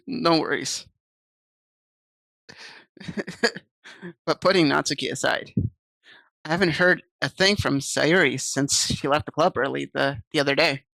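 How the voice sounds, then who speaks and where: A woman's voice: low voice, steady tone, a little slow, gravelly, pauses often, fairly bright tone, no echo. A woman streaming, reading visual novel text aloud and chatting casually with viewers on a desk mic. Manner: chatty, reads game text aloud and answers chat.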